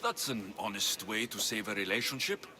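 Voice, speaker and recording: A man's voice: lowish voice, normal speed, bright, forceful tone. A man acting a capable, sardonic Greek mercenary in a video game cutscene, with a dry delivery. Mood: wry, skeptical. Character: wry, capable male Greek mercenary for hire.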